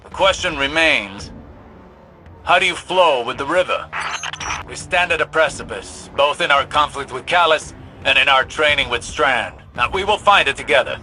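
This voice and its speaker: An actor playing an older scholarly mentor in a video game, intense and demanding, with formal, measured, philosophical speech. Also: male voice, fairly high pitch, animated, bright, forceful tone, faint music behind, rough recording. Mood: pensive, instructive.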